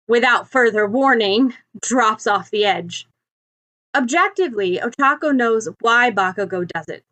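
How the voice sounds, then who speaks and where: A woman's voice: fairly high pitch, a bit bright. A woman reading scripted fiction aloud as the narrator of an audio story. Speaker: podfic narrator.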